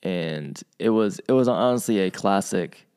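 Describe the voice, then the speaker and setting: Man, very low voice, podcast co-host, casual chat, thoughtful, frequent restarts. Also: measured pace, smooth. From United States, grew up in Virginia. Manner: thoughtful, many restarts and asides, animated when quoting.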